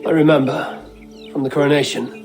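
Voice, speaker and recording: An actor playing a stern, confident, dry-witted Norse warrior in a video game. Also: male voice, a little high, little pitch movement, a little fast, natural voice. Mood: dry, assured. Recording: music under dialogue.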